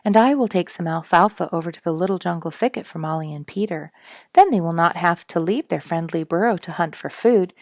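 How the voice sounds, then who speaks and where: Eager, kind farm youngster for a storybook, played by a woman, creaky-edged voice, dry recording. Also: lowish voice, a little fast, no echo, natural voice. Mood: earnest. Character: kind, busy farm boy and girl.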